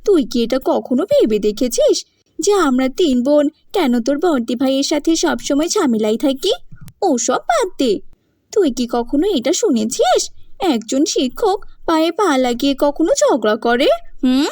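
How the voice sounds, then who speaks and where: A woman's voice: high voice, sing-song, moderate pace, dry recording, studio quality. A woman voicing a defiant, quarrelsome, boastful younger sister in a cartoon. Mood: accusing. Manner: sharp, argumentative. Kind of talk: cartoon voice acting.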